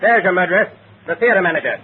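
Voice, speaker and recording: Measured doctor-sleuth on tinny old radio. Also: male voice, high-pitched, expressive. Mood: accusing. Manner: measured, deliberate, deductive. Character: calm, shrewd, educated male doctor turned amateur sleuth. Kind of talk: 1940s radio detective acting. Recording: noisy, tinny vintage radio recording.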